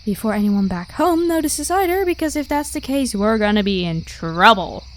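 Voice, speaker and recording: A woman voicing a carefree, protective vampire warrior of high rank in a casual, reassuring roleplay style. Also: lively intonation, normal speed, natural voice. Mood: concerned. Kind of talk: ASMR roleplay acting. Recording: clear background hiss with night crickets. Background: crickets and insect ambience.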